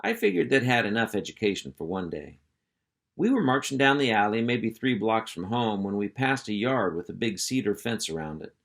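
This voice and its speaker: A man's voice: lowish voice, very animated, normal speed, gravelly, a bit bright. Folksy man reading a storybook aloud. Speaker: school superintendent reading aloud. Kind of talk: storybook read-aloud narration.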